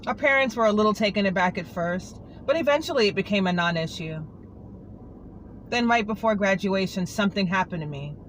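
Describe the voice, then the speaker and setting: Heartbroken anchorwoman pouring her heart out to a coworker, earnest and pleading, middle pitch, as a reader puts her on. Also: animated, brisk, fairly bright tone, slight background noise, close to an everyday voice, poor audio. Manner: earnest, pleading, confiding to a colleague. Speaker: monologue reader. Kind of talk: dramatic monologue reading.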